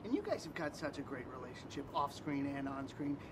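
Male junket interviewer heard from a distance, city noise clearly under him. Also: sing-song, a little fast. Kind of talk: press junket questions. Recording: far from mic with outdoor rumble.

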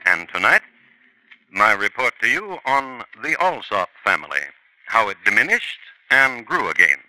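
A man's voice: fairly low pitch, big pitch swings, a little slow, sharp tone, rough recording. A man narrating a true-crime radio drama as its host, telling it with wry, theatrical flair. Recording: vintage radio broadcast with music.

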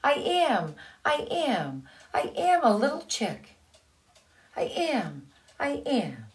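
A woman voicing a small duckling who insists he is a chick, in a high pleading childlike voice for a storybook read-aloud. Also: wildly swooping pitch, takes it slowly, exaggerated voice.

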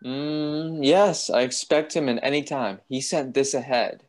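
A man playing a courteous, troubled gentleman doctor with polite, formal speech in a radio play. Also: mid-range voice, measured pace, close to an everyday voice. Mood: preoccupied.

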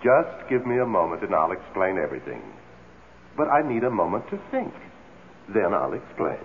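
An actor playing a suave, evasive gentleman ghost in an old-time radio drama, speaking in a measured way. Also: deep voice, big pitch swings. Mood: stalling. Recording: muffled, noisy old radio recording.

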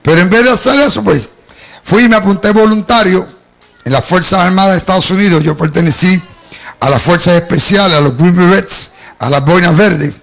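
A middle-aged Puerto Rican man with a touch of Cuban in his speech preaches his testimony to a congregation in a church hall, holding a handheld mic. His voice sits high and carries a gravelly rasp. He tells his story in a lively way, calling the listeners "beloved" as he goes. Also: brisk. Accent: Puerto Rican Spanish with some Cuban. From Puerto Rico. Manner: storytelling, addresses the congregation as "amado". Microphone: handheld microphone.

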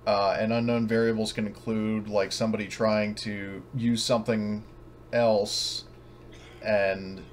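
Halting low-voiced male game master, noisy audio. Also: little pitch movement. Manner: halting, rambling. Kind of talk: casual explaining of a tabletop game scenario. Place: online voice call.

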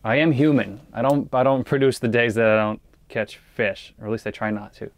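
A man's voice: medium pitch, fairly even intonation, fairly quick. A man talking casually to viewers as a fishing vlogger, in an easygoing, rambling way. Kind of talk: casual vlog chat to viewers. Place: small ice fishing shelter on a frozen lake. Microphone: action camera facing him.

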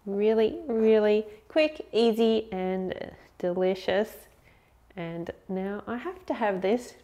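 A woman's voice: medium pitch, takes it slowly, mellow, lo-fi sound. Brief: woman in her 30s, friendly home cook host, chatty step-by-step talk in a kitchen. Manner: upbeat, chatty, explains step by step. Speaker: cooking show host. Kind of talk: friendly recipe demonstration.

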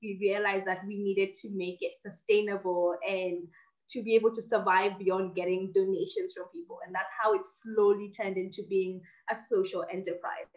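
A woman's voice: mid-range voice, moderate pace, darkish tone, poor audio. A woman in her twenties from Kenya, a guest on a casual interview over a video call, speaking confidently and articulately, recorded on a laptop webcam at home. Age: 20s. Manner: confident, articulate, laughs easily. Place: home office with bookshelves.

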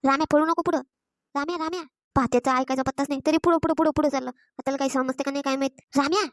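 Woman as scolding cartoon mother, high voice, quick delivery, rural Maharashtrian Vidarbha accent, nagging, dry close sound. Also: steady tone, no room sound, natural voice. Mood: fretting, nagging. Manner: nagging, repetitive, complaining. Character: exasperated scolding village mother of a naughty boy. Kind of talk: Marathi cartoon comedy voice acting.

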